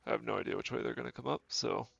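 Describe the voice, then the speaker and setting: A man's voice: mid-range voice, steady tone, racing, creaky, fairly bright tone, husky. A man, a game streamer with a stuffy nose from a cold, thinking aloud and correcting himself in easygoing, casual gameplay commentary. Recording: dull lo-fi stream audio. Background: faint game music.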